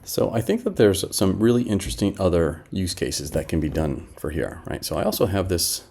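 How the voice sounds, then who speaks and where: A middle-aged man giving a casual product demo, halting and thinking aloud as he talks at his desk. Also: sits low, sing-song, vocal fry, a little mellow, husky. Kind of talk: casual screen-share demo talk. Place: small indoor room at home.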